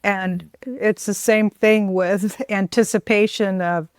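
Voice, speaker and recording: A woman's voice: medium pitch, steady tone, slow, clear, resonant tone, no echo, studio quality. A woman with an American accent hosting a podcast, speaking conversationally as she interviews a guest. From United States. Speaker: podcast host.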